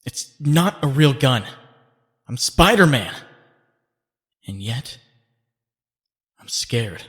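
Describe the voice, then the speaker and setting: Long reverb, male actor as young superhero, mid-pitched, reflective inner monologue, comic dub. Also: sing-song, very slow, a bit bright, exaggerated voice. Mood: scared.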